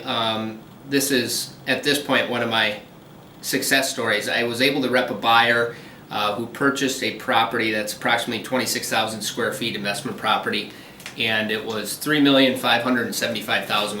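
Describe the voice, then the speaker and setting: A man's voice: medium pitch, reverberant, far from the mic, slight background noise. A man working as a commercial realtor, explaining local deals in a businesslike way during a phone interview. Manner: steady, businesslike explaining with frequent ums.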